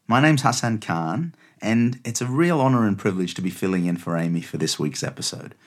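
A man in his thirties with an Australian accent, guest-hosting a podcast with an earnest, reflective monologue. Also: lowish voice. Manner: earnest, reflective, tags lines with "right?". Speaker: guest host.

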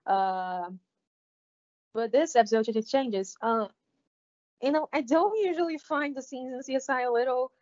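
A woman's voice: high-pitched, darkish tone. Brazilian portuguese lady recapping episodes, lots of ums and restarts, kinda dull lo-fi sound. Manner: halting, many fillers and restarts, laughs often. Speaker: podcast co-host. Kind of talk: casual recap chat. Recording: slightly dull lo-fi audio.

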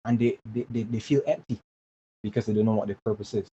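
A man's voice: fairly low pitch, fairly even intonation, fast, warm tone. A man, speaking earnestly as an interview guest and often repeating words while he finds his phrasing. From Barbados. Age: 20s. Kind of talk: casual interview talk. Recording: dull lo-fi remote audio with faint hiss.

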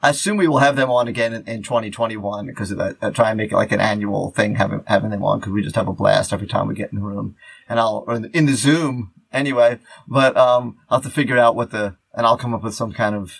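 A man's voice: lowish voice, steady tone, gravelly. A man co-hosting a podcast in loose, unscripted chat, rambling and often restarting his phrases as he goes. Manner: rambling, halting, repeats words and restarts. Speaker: podcast co-host.

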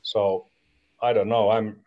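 A man's voice: lowish voice, flat delivery, takes it slowly, narrow, tinny audio. A man in his forties from Finland with a Finnish accent, a hockey coach answering podcast questions in English and searching for his words. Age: 40s. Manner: searching for words in a second language, restarting phrases. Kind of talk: podcast interview.

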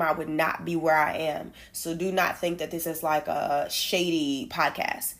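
A woman hosting a faith-based podcast, upbeat and emphatic, a bit congested and nasal. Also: lowish voice. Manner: upbeat, emphatic, repeats for stress. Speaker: podcast host.

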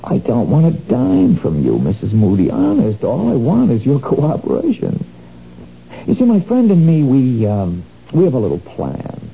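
A man's voice: mid-range voice, wildly swooping pitch, normal speed, creaky. An actor playing a sly, menacing male crook who wheedles and threatens, in a 1970s radio drama. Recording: dull lo-fi old radio recording, faint noise.

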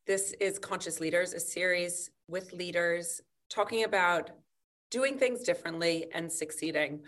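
Slightly raspy woman podcast host. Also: lowish voice, unhurried, fairly bright tone. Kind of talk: scripted intro then interview.

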